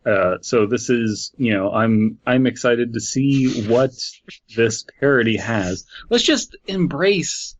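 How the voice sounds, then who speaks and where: A man's voice: medium pitch, highs cut off, slight background noise. A man co-hosting a casual comedy podcast, reading stories aloud with lots of fillers over a call. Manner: halting, many fillers, reads aloud deadpan. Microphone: remote call over Skype.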